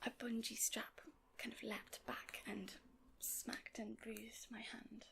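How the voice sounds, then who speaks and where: A woman whispering in a casual ASMR chat, gently rambling and unhurried, as host of her own video. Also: on the high side, flat delivery, gravelly. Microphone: portable handheld audio recorder. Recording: close whispered recording on portable recorder.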